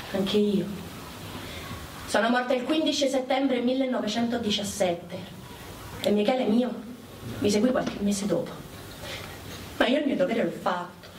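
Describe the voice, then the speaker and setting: A high-pitched woman, played by an actress, tells her wartime story as a proud, lovelorn ghost. The delivery is dramatic and theatrical, set far from the mic in an echoing space with music behind. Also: animated, measured pace, long pauses, noisy background. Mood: sorrowful, proud. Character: Sicilian woman war porter, dead a century, proud, lovelorn. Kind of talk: theatrical first-person monologue. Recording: echoey, distant miking, music behind.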